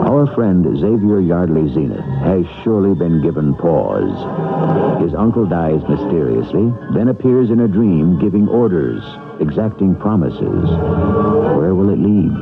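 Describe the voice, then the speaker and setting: Male mystery host, very low, dramatic, tinny radio sound. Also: very animated, unhurried, gravelly. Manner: grave, sonorous, dramatic recitation. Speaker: show host and narrator. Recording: tinny old radio with music.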